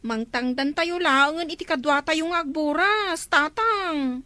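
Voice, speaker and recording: A woman's voice: high voice, unhurried, sharp tone, no room sound. A young woman with an Ilocano accent playing a whiny, reluctant student daughter in an amateur family drama, plaintive and complaining. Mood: pleading.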